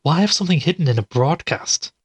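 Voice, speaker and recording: A man's voice: fairly high pitch, expressive, quick pace, husky, dry recording. Man acting as a tired, easygoing podcast investigator, dry close sound. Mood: casual, puzzled. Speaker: podcast host and narrator character. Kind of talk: audio drama acting, first-person narration and dialogue.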